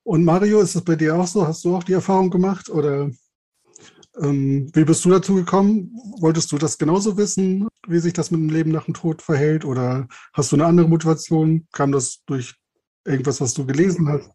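A man's voice: a little high, a little fast, dark tone. A man hosting a podcast, introducing guests and putting questions to them in an interview style.

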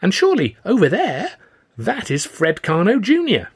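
A man's voice: medium pitch, very animated, moderate pace, husky, pitched above the performer's own voice. A man voicing an eager, naive young comic in an audiobook, full of polite, gushing, old-fashioned exclamations. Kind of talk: audiobook character voice.

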